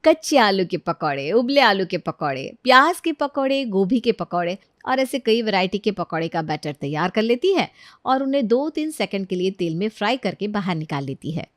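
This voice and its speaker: A woman's voice: medium pitch, very animated, moderate pace, no room sound, clean studio sound. A woman narrating a scripted story expressively as a storyteller.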